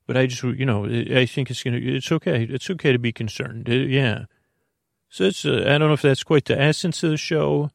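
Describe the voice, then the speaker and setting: A man hosting a bedtime podcast in a meandering, halting monologue, reassuring the listener, often repeating himself and trailing off mid-thought. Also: mid-range voice, vocal fry, no echo, studio quality. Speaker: podcast host. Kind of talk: rambling bedtime monologue to help listeners sleep.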